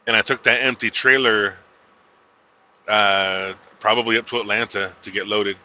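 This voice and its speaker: A man's voice: lowish voice, steady tone, moderate pace, bright, forceful tone, poor audio. A man in his thirties, a truck driver chatting casually to a live stream from his cab as he drives, laid-back and drawling, saying "man" a lot. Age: 30s. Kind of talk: casual livestream chat while driving. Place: inside a truck cab on the road.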